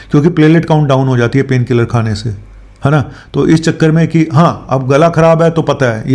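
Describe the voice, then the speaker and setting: A man with a medium-pitched voice gives informal medical advice as a doctor hosting a show. He talks conversationally to viewers, mixing in English medical terms and often checking in with short tag questions. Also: darkish tone. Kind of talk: informal health explainer talk to viewers.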